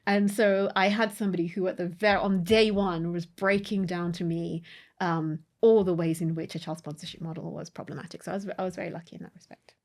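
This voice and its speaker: A woman with a slightly low-pitched voice talks through her past on a podcast. She speaks in a reflective, unhurried conversational way, pausing on ums as she gathers her thoughts. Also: steady tone. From United Kingdom. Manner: reflective, with frequent ums and laughs. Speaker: podcast co-host.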